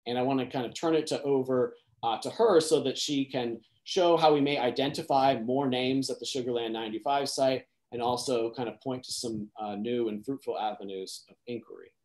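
A man in his thirties presenting historical research over a video call, earnest and measured, his voice trailing off at phrase ends. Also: medium pitch, darkish tone. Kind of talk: online lecture presentation.

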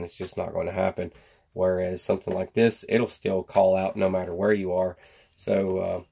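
Low, gravelly American man chatting casually. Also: darkish tone. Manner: rambling, folksy slang. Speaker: survival gear vlogger. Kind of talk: casual product chat to camera. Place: indoor workshop at a workbench.

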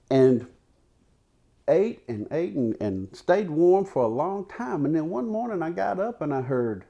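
A man tells a folksy tall tale, unhurried, with dramatic pauses and repetition. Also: on the high side, vocal fry, dark tone. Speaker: storyteller. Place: living room in a house, seated by a fireplace. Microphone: lapel.

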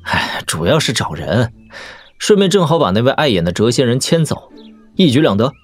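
An actor playing a wry, easygoing young prince in a Chinese martial-arts audio drama, casual and given to sighs. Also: male voice, medium pitch, big pitch swings, moderate pace. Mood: wry, reflective.

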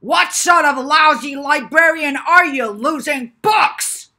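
A woman voicing a belligerent, ugly male professor in a children's storybook, booming and ranting demands. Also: slow pace, bright, forceful tone, full character voice. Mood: furious.

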